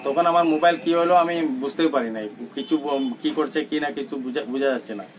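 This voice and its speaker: A man with a Barak Valley Bengali accent giving an informal, halting interview account, repeating himself and mixing in English words. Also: a little high. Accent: Bengali of Assam's Barak Valley. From Barak Valley, Assam. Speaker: interviewee recounting an incident. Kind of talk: informal spoken account to a reporter. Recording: distant mic, noisy ambience with birds.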